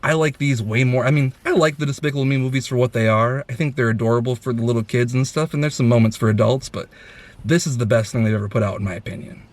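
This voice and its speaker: A man's voice: mid-range voice, steady tone, fast. A man hosting a casual film review chat, talking in an enthusiastic, effusive way.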